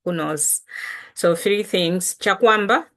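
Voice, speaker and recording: Woman from Malawi, Chitumbuka native, language teacher; slow, patient delivery, lowish voice. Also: fairly bright tone. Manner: unhurried, patient, repeats and spells out words. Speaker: language teacher and channel host. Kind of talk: casual language lesson to camera.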